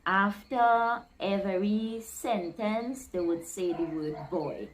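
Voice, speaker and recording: A woman with a Guyanese accent, tinged with Trinidadian, talks straight to her viewers in a relaxed vlog. Her voice sits at an ordinary middle pitch. She is chatty and expressive, voicing the local phrases she explains. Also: takes it slowly, a little hiss or hum, low-quality recording. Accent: Guyanese, with Trinidadian creeping in. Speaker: vlogger explaining slang.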